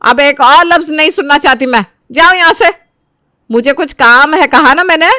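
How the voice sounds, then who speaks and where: A woman's voice: medium pitch, steady tone, fairly quick, a bit bright, dry recording, studio quality, lightly acted. A woman acting an angry, domineering older mother-in-law in a family audio drama, scolding and exasperated. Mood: stern, dismissive.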